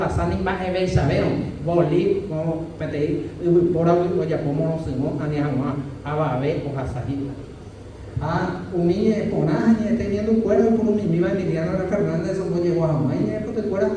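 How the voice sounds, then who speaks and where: Man, student presenting his thesis; higher, mellow voice, heard from across a reverberant room with light hiss. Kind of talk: formal academic presentation. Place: university lecture room. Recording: echoey room, mic far off, faint hiss.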